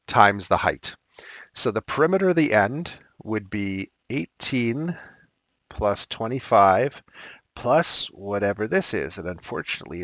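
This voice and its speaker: Man, math teacher, slightly raspy, low pitch, casual self-correcting, dry recording. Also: fairly bright tone, dry recording. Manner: casual, thinks aloud, corrects himself. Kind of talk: recorded math lesson walkthrough.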